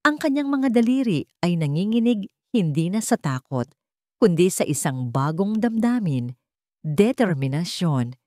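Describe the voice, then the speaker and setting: A woman with a Filipino accent reads a scripted dramatic story in a measured, deliberate way. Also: fairly low pitch, sing-song, warm tone, no room sound, clean studio sound. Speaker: narrator.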